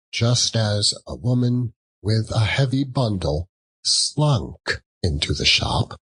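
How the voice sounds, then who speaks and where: A man reads literary narration with a storyteller's drama. His voice is very husky and fairly low, moving through wide swings of pitch at a measured, unhurried pace. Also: fairly bright tone, poor audio. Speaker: audiobook narrator.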